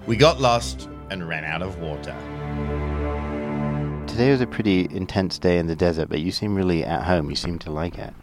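A man's voice: low voice, normal speed, over loud music. A tired man recording a casual hiking diary outdoors at a campsite on a clip-on mic, swearing casually as he chats. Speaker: hiker vlogging a thru-hike. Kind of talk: casual trail diary chat. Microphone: clip-on mics.

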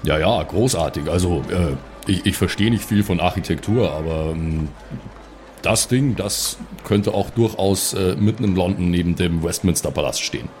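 A man playing a tabletop role-playing game, improvising in casual, halting, informal chat. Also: low voice, a little mellow.

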